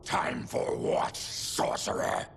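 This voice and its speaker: A man playing a grave, proud captive warrior in a cinematic video game, stern and disdainful, with a gravelly voice. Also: takes it slowly, background noise, close to an everyday voice. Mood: wary. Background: music.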